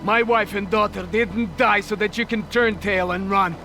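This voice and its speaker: An actor playing a zealous, stern Soviet army captain in a video game, forceful and indignant, with noise behind him. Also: male voice, high-pitched, fairly even intonation, moderate pace, soft background music.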